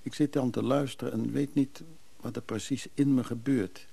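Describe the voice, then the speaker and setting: An actor playing a weary, bereaved middle-aged traveling businessman in a radio drama, speaking in a husky, hesitant, reflective way. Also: medium pitch, steady tone, moderate pace, dark tone. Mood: grave, confiding. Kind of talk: naturalistic Dutch radio drama acting.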